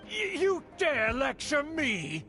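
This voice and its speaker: An actor playing a haughty, indignant old noble uncle in a video game, speaking formally and imperiously. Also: male voice, very high voice, huge pitch swings, slow, sharp tone, faint music behind, heavily acted. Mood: outraged. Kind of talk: dramatic video game acting.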